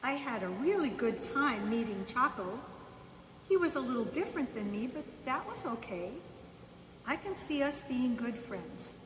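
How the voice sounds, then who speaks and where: A woman reading a children's bedtime story aloud in the first person, unhurried, pausing between short phrases. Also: fairly high pitch, very animated. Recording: echoey reverberant room, distant mic, faint noise.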